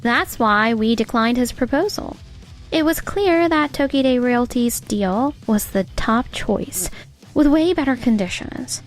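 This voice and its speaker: A woman's voice: a little high, lively intonation, a little slow, soft background music. A woman voicing a confident young office colleague. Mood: assured.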